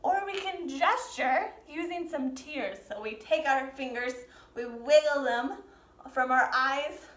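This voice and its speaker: A woman teaching dance to young learners, explaining and demonstrating step by step with gentle, encouraging prompts. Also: sits high. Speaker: dance teacher leading a children's pantomime lesson. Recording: roomy, echoing sound.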